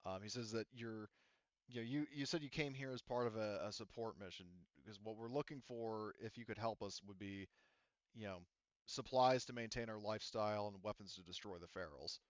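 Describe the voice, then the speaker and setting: A man's voice: lowish voice, a little fast, no echo, muffled recording. A man serving as game master, relaying a character's words to the players in a hesitant, filler-heavy way. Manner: hesitant, filler-heavy, says "you know" and "like" often.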